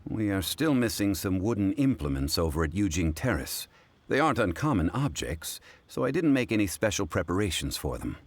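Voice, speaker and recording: An actor playing a formal, refined gentleman in a game, measured in speech. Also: deep voice, big pitch swings. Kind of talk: game voice acting. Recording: game audio with music behind.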